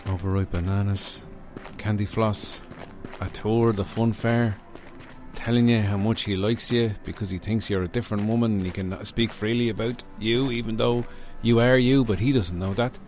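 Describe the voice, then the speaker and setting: A man's voice: lowish voice, steady tone, normal speed, darkish tone, faint music behind, natural voice. A man playing a blustering, sceptical club boss in a comedy radio serial, dry and incredulous.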